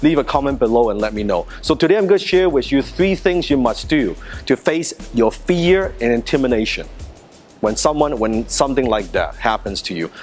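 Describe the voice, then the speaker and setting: A man coaching a self-defense class, talking earnestly and a bit haltingly, often restarting and repeating himself. His pitch sits in a middle range for a man. Faint music plays behind him. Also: lively intonation. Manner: earnest, conversational, with restarts and repetitions. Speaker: martial arts instructor. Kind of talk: teaching a self-defense lesson.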